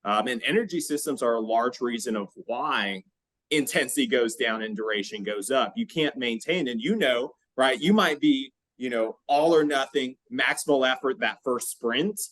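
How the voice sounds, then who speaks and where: A man hosting a podcast, explaining exercise science in a conversational, halting way with frequent check-in questions. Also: medium pitch, a bit bright, no echo.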